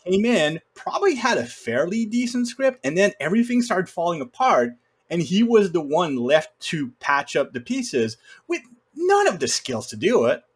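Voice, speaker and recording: A man's voice: high-pitched, sing-song, moderate pace, breathy edge. Opinionated man in casual film talk. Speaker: podcast panelist discussing films.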